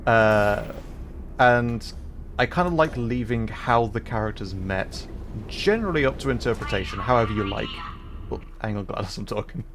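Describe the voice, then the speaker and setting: Low-voiced man chatting on a livestream. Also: lively intonation, normal speed, noisy background. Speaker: livestream host. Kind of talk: casual game livestream chat.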